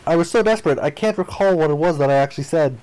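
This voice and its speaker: A man reading the narration and dialogue of a visual novel aloud in an expressive style. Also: on the high side, racing, rough recording.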